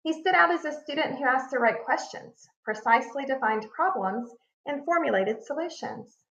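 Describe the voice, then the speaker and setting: A woman reading a children's picture book aloud like a teacher, expressive and deliberate, leaning on key words. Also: sits high. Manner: expressive, deliberate storytelling with emphasis. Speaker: read-aloud narrator, teacher. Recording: echoey room, slightly muffled sound.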